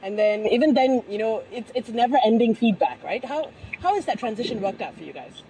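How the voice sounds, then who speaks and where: A woman's voice: fairly high pitch, animated, brisk, warm tone. A woman conducting a casual phone interview in an informal, bantering way. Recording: very noisy phone interview recording.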